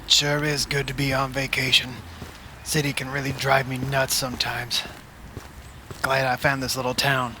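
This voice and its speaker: Man playing an easygoing, bright-voiced tourist. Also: medium pitch, slight background noise. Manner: casual, laid-back. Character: easygoing, clueless male city tourist on vacation. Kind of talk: comic radio sponsor skit.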